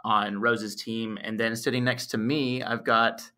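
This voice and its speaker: A man hosting a casual podcast, his voice a little croaky, talking in a relaxed way with lots of fillers. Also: mid-range voice, unhurried. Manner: relaxed, filler-heavy, laughs easily. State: slightly croaky voice. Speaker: podcast host.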